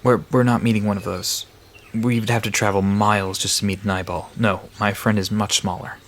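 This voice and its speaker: A man's voice: lowish voice, level tone, normal speed, fairly bright tone, slight background noise. A man playing a friendly, nerdy guide to mythical creatures in an audio drama, explaining lore eagerly with hesitant asides. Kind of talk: conversational audio drama dialogue.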